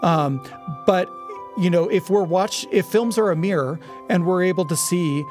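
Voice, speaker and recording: A middle-aged man as a podcast guest, talking casually and thoughtfully about film in a halting, reflective way. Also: sits high, a little mellow, soft background music. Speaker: podcast guest, audio drama producer.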